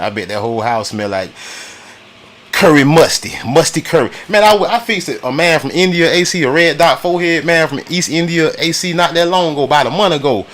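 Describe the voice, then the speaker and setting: A man's voice: a little high, expressive, fairly quick, a bit bright. A man with an American accent giving casual, opinionated reaction commentary on video clips, blunt, mocking and full of slang.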